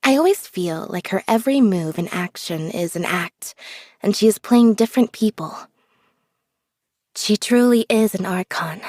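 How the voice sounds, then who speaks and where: A woman voicing a reserved, observant young magician's assistant in a video game, speaking in a calm, measured deadpan. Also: mid-range voice, no room sound, clean studio sound, lightly acted. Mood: hushed. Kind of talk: scripted character voice lines.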